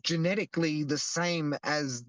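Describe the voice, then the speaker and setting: A man's voice: a little high, steady tone, a little slow, a bit bright, no room sound. A young Australian man explaining earnestly in a casual interview, often restarting his sentences. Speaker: guest, activist.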